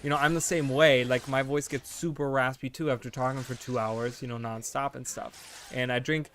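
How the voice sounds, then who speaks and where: A man's voice: medium pitch, steady tone, moderate pace, fairly bright tone, a little hiss or hum. Rambling young man streaming a game. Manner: rambling, full of "like" and "you know"; thinking aloud, self-correcting. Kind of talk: casual chat while gaming.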